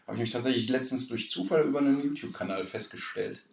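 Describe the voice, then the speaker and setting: A man's voice: medium pitch, fast talker, vocal fry. A man, chatting casually as a podcast co-host. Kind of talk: casual chat. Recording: echoey room, distant from mic.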